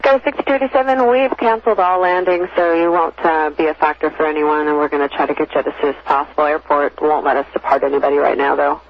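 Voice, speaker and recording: A slightly high-voiced man speaks as an air traffic controller over a dull, narrow radio channel. He is courteous and explains things as he goes. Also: level tone, fast, vocal fry, few pauses. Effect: aviation radio. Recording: muffled aviation radio, faint static.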